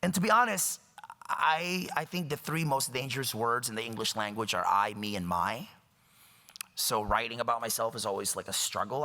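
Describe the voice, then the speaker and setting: Thirties man from the Philippines as a guest speaker, wry and emphatic, bright voice carrying through a reverberant hall. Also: medium pitch. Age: 30s. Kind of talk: public talk. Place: lecture hall.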